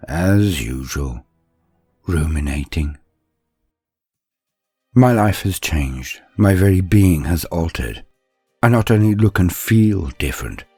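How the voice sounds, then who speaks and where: A man narrating a scripted dramatic story in the first person, measured and pausing mid-sentence. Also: low voice, a little mellow, slightly rough, no echo.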